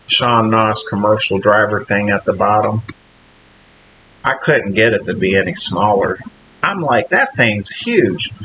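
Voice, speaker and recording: A man with a nasal, slightly low voice and a drawl talks loosely to his camera, circling back and restarting his sentences as he goes. He is recording in a hotel room, and a steady hiss sits under his voice. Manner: rambling, chatty, frequent restarts and fillers. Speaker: vlogger, trainee truck driver. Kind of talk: casual vlog talk to camera.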